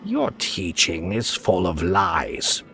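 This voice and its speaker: A man voicing a cold, scheming, self-righteous priest in a dramatized audiobook, slow and menacing. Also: sits low, wildly swooping pitch, full character voice. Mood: stern, accusing. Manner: slow, drawn-out, hissing when quiet. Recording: music behind the voice.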